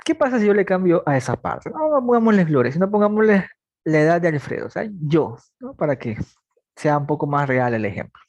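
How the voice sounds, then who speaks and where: A man's voice: on the high side, very animated, a little fast, a little mellow, dry recording, dull audio. A man in his twenties teaching a math logic class, patiently asking students questions and then answering them himself. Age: 20s. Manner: repeats points, talks plainly to students. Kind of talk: online class lecture.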